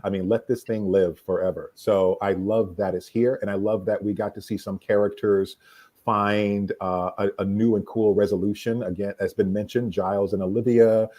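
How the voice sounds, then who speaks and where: A man giving a casual opinion as a panelist in a fan discussion, talking haltingly and repeating words as he goes. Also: fairly low pitch, fairly even intonation, darkish tone.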